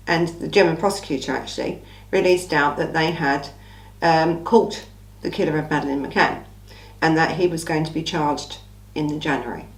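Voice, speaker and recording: A woman from England hosting a casual true crime Q&A, her voice croaky from a cold, talking in a blunt, chatty, opinionated way. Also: sits low, echoey room, recorded from a distance, rough recording. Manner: chatty, blunt, opinionated, says "listen" often. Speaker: true crime channel host. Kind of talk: casual Q&A monologue.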